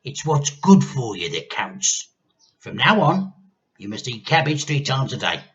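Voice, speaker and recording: A man voicing a nasty, gleefully menacing old grandmother in a storybook, with drawn-out, gloating delivery. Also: medium pitch, big pitch swings, a bit bright, dull audio, voice pushed up. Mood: scolding. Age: older. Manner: drawn-out, gloating, sometimes throaty whispering.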